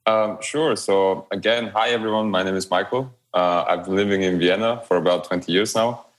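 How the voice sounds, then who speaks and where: A young man from Romania speaks in a very low voice. He talks as an interview guest, relaxed and halting, with fillers and small restarts. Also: soft background music. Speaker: guest, blockchain company growth lead. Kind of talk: remote interview conversation.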